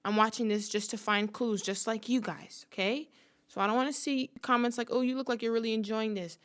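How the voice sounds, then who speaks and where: A woman's voice: a little high, fairly even intonation, brisk, smooth. A woman giving playful reaction commentary to camera, mock-serious and theatrical, hammering phrases through repetition. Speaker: sports fan reaction video host.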